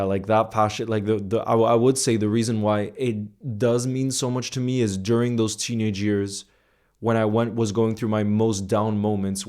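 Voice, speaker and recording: A young man speaks in a low voice that stays fairly level in pitch. He tells a personal story to his viewers, earnest and reflective. He often restarts phrases and fills the gaps with "you know". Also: a little mellow. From Iceland and the United States. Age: young adult. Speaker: football content creator. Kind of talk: casual personal storytelling to camera.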